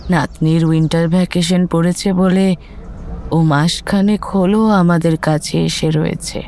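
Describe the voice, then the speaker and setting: A woman's voice: fairly low pitch, steady tone, a little slow, dark tone, a little hiss or hum. A woman playing a composed, forthright grandmother in her seventies in an audio drama, explaining things firmly. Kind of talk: dramatised audio story acting.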